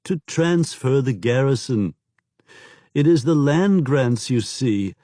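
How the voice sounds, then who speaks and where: A frightened informant with a sing-song lilt, as a male narrator puts him on, pitched clearly higher than the reader's own voice. He begs and confesses in a broken way, dragging out his words. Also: expressive, slow pace, warm tone, no room sound, studio quality. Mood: desperate pleading. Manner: broken, begging.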